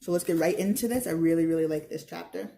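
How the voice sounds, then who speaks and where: A woman's voice: fairly low pitch, quick pace, creaky, warm tone. A woman reading a children's book aloud expressively, with informal, teacherly asides. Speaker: read-aloud narrator.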